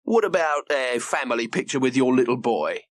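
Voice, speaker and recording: A man voicing a busy, brisk newspaper photographer in a children's story. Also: mid-range voice, expressive, no echo, lightly acted.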